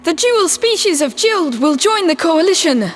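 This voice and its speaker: A man's voice: very high-pitched, very animated, normal speed, voice pushed up. A man voicing an earnest alien ambassador who speaks in formal, declarative oratory. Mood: earnest, resolute.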